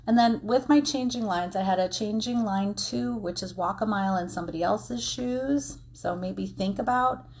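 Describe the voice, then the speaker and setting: A woman's voice: medium pitch, normal speed, hardly any pauses, a little mellow, a little hiss or hum. Woman casually explaining an oracle card reading. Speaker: tarot and oracle card reader.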